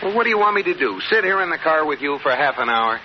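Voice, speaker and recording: An actor playing an exasperated, grumbling but indulgent husband, emphatic, in an old radio situation comedy. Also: male voice, a little high, big pitch swings, quick pace. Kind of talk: 1940s-50s radio situation comedy acting. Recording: muffled noisy old radio, studio audience.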